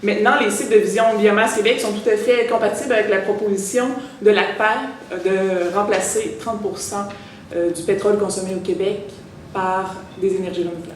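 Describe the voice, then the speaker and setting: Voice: medium pitch, flat delivery, fairly quick, a little hiss or hum. A speaker reading a prepared press statement, with some hesitations and self-corrections. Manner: reads prepared points, hesitant. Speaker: biomass group representative at a press conference. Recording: distant, very echoey room.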